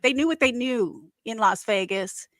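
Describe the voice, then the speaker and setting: A woman from Wisconsin with a Wisconsin accent, co-hosting a casual football chat, chatty and quick to laugh. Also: a little high, expressive, fairly bright tone, no room sound, clean studio sound. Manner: chatty, laughs often, many fillers. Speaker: podcast co-host.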